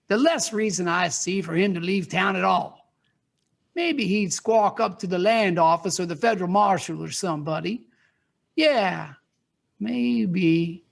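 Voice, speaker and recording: A man playing a sly, murderous outlaw gunman in a western audio drama, with an American Western drawl and gravelly, mocking delivery. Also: sits high, full character voice.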